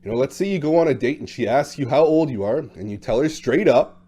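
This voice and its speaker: A man's voice: medium pitch, animated, a little fast, warm tone. A man in his thirties or forties talking bluntly and casually about dating, with lots of fillers. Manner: talkative, blunt, acts out quotes in shifting voices. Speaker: dating coach. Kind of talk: casual monologue to camera.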